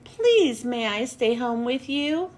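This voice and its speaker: A little raccoon boy from a children's storybook, played by a woman reader. The voice is high and very animated, with a childlike, earnest way of asking. He sounds curious and affectionate, and takes his words slowly. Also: full character voice. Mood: pleading. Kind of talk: children's storybook read-aloud.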